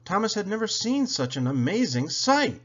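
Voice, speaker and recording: A man narrates a children's picture book as a read-aloud. His delivery is expressive and animated, with pitch that moves widely from phrase to phrase. The recording sounds somewhat dull and lo-fi. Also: moderate pace. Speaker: storybook reader.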